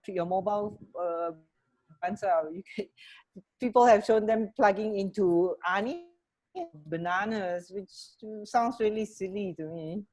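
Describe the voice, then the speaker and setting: A middle-aged woman co-hosting a casual chat on a video call, speaking in a halting, informal way. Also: medium pitch, a little mellow, poor audio. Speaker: radio co-host. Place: indoor room at home, on a video call. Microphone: earphone headset on video call.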